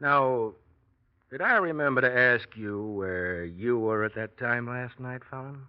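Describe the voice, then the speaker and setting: A man's voice: medium pitch, expressive, a little slow, clean tone, close to an everyday voice. A man playing a cool, probing police inspector in a 1940s radio drama, dry and insinuating with a suspect. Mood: probing, sly. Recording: dull, muffled old radio recording.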